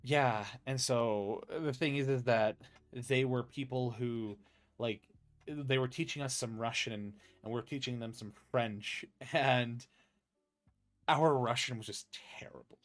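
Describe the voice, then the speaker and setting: A young American man hosting a casual interview, rambling with lots of restarts and getting animated when he tells a story. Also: mid-range voice, takes it slowly, faint music behind. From United States. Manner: rambling, many fillers and restarts, animated when telling stories. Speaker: podcast host.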